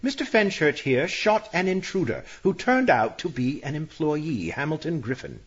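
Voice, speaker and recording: An actor playing an officious police inspector in a radio mystery, matter-of-fact and easily exasperated. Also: male voice, on the high side, lively intonation, normal speed, husky, highs cut off. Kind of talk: radio mystery drama acting.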